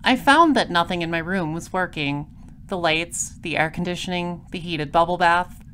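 A woman's voice: fairly low pitch, normal speed, fairly bright tone, a little hiss or hum, lightly acted. A woman playing a composed, droll space detective, narrating an audio drama in a dry, matter-of-fact way.

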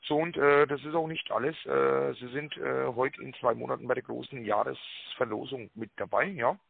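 A man giving a rehearsed telephone sales pitch, full of tag words and hesitations, with a raspy voice. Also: fairly even intonation, moderate pace, dry recording. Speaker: telemarketing caller pitching a prize draw. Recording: narrow tinny phone line.

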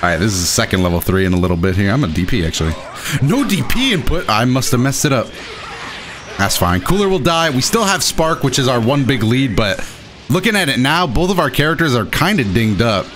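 Excitable young man, lively gaming commentary. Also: mid-range voice, brisk, over music. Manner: excitable, exclaiming, self-deprecating. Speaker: gaming video host. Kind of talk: live commentary over own gameplay.

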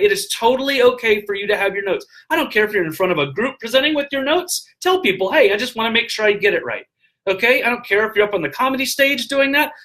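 A middle-aged man talking casually into a webcam from a hotel room, animated and full of fillers as he gives motivational business tips. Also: extremely high pitch, low-quality recording. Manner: animated, gestures a lot, filler-heavy with frequent "all right?". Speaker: vlogger giving business tips. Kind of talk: casual motivational live stream. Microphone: webcam at desk distance.

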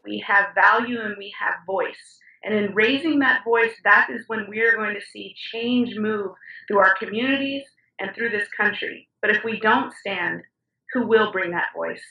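A woman's voice: medium pitch, moderate pace, gravelly, lo-fi sound. A young woman giving an impassioned, encouraging personal testimony, recorded on a laptop webcam. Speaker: interviewee. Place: indoor room. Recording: roomy audio, mic a bit distant.

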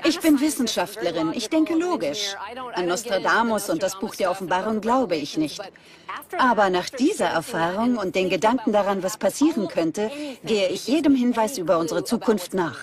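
A woman's voice: mid-range voice, lively intonation, moderate pace, lightly acted. A woman voicing a scientist's scripted conversational lines in a documentary. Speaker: scientist, dubbed.

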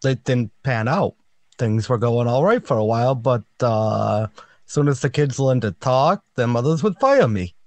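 A cheerful, dim-witted tavern regular in a 1940s radio comedy. His mid-pitched voice bobs up and down a lot, and he answers with guileless innocence. The sound is close and dry. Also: animated, a little slow, a little mellow, no room sound. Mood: dopey.

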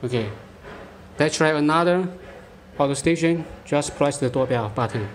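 A man demonstrating a product hands-on, speaking in short, halting phrases, recorded indoors on a handheld device. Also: a little high, soft background music. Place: indoor room, beside a tabletop.

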